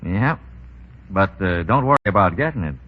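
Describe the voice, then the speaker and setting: An actor playing an easygoing, wary racket boss in a 1930s radio drama. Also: male voice, sits low, animated, moderate pace. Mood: wary, matter-of-fact. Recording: muffled, noisy 1930s radio recording.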